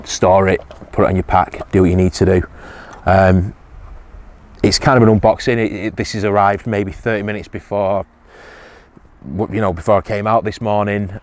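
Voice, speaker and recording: A man's voice: on the low side, little pitch movement, moderate pace. A man, casually reviewing survival gear outdoors on a hillside, hesitant with many ums and restarts.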